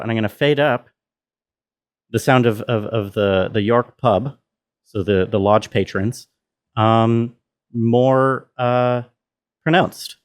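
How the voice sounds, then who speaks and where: A man walks through sound design in an informal tutorial style. His voice sits in a middle register. He speaks haltingly, piecing his explanation together as he goes. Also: mid-range voice, clean tone. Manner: halting, thinking aloud. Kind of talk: casual tutorial walkthrough.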